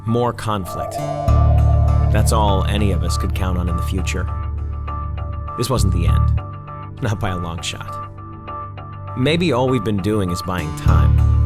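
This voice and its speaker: A man acting as an earnest war correspondent in a video game, speaking in a solemn, deliberate, weary yet hopeful way. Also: sits low. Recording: loud music under the voice.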